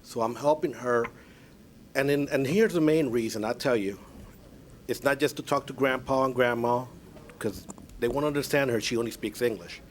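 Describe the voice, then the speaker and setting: A man whose first language is Spanish, chairing a school board meeting and speaking earnestly in halting phrases. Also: medium pitch, slight background noise. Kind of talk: public meeting remarks.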